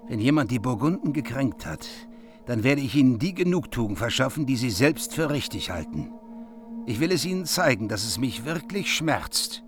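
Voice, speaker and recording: A man's voice: mid-range voice, lively intonation, normal speed, music in the background, lightly acted. A man acting a proud, gracious medieval king and doting father in a radio play, solemnly addressing his guests. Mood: offended, earnest.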